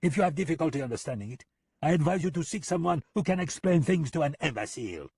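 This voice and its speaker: An arrogant gunman who taunts everyone around him, as a male narrator puts him on. The voice rides high and haughty, a little husky, and sits close and dry. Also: lively intonation, fast, a little mellow, no echo. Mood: mocking. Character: smug foreign male hired killer, mocking and arrogant.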